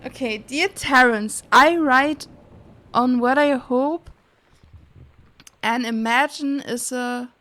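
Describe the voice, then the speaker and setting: A woman doing casual livestream game commentary, reading on-screen text aloud in a halting, puzzled way, muttering guesses as she goes. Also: high-pitched, pushed and bright. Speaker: game streamer.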